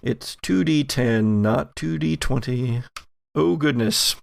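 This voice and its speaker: A man narrating a horror tabletop game as game master, speaking in a halting, deliberate way and repeating words for emphasis. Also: mid-range voice, vocal fry, no room sound.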